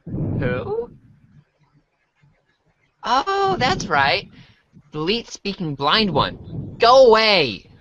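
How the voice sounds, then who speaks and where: A man's voice: high-pitched, huge pitch swings, extremely slow, long pauses, bright, forceful tone, full character voice. A man playing a naive, incredulous teenage boy with dry sarcasm in an amateur reader's theater. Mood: defensive, sarcastic. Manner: hesitant, incredulous, dryly sarcastic. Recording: faint noise behind home recording.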